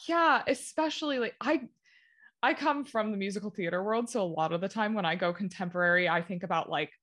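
A woman hosts a relaxed podcast chat, laughing along with the guest and chiming in eagerly. Her voice sits at a middle pitch. She asks questions in a loose, conversational way. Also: a little fast. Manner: warm interviewer, laughs along, enthusiastic interjections. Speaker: podcast host. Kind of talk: casual chat.

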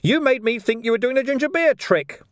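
A man doing a squeaky, panicked prisoner, very high. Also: wildly swooping pitch, a little fast, no room sound, clean studio sound, full character voice. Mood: aggrieved wailing. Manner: stammering, whining, pleading. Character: nervous, cowering male prisoner, wailing and pleading.

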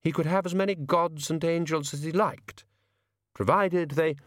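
A man's voice: fairly high pitch, fairly even intonation, normal speed, dry recording, studio quality, voice pushed up, close to an everyday voice. A man voicing a vain, fussy, icy male frost spirit in an audiobook, haughty and defensive. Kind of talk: audiobook character reading.

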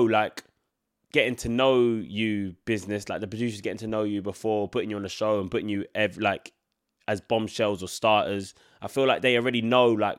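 Congested young man chatting casually. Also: mid-range voice. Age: 20s. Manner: casual, rambling, many filler likes. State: congested from a cold. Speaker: podcast host. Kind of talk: solo podcast chat.

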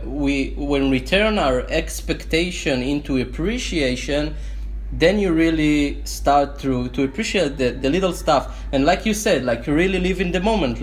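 A man's voice: a little high, slight background noise. A man with an Israeli accent hosting a podcast, speaking in an earnest, reflective way as he searches for words. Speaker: podcast host. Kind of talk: casual interview chat.

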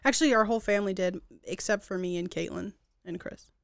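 A woman's voice: mid-range voice, fast talker, gravelly, no echo, clean studio sound. A woman co-hosting a podcast in casual chat, telling stories in an upbeat, wry way. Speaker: podcast co-host.

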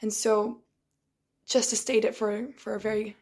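A young woman with a voice tired and strained from shouting. She talks in a casual, reflective monologue, halting and restarting and often clearing her throat. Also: medium pitch, level tone.

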